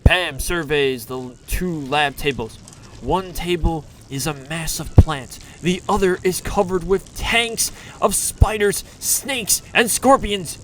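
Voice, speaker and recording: A man narrating a campy retro serial in a theatrical, deliberate, scripted style. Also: a little high, a little hiss or hum.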